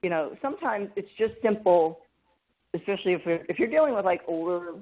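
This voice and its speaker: A man with a fairly high voice for a man, speaking as a guest hypnotherapist in a remote interview and explaining things in a measured, thoughtful way. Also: expressive. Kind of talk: interview over a remote call. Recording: phone-like call line with static.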